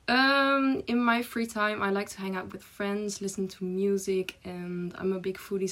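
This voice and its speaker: A twenty-year-old woman with a Dutch accent, introducing herself in a bubbly way with frequent ums. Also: mid-range voice. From Netherlands. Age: 20s. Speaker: exchange student. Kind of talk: casual self-introduction.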